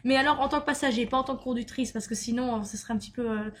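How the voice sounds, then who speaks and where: A woman's voice: fairly high pitch, fairly even intonation, rapid-fire. A young woman vlogger reading tag questions aloud and answering them in a chatty, giggly way, with frequent fillers and "donc voilà". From Luxembourg. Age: young adult. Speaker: beauty vlogger.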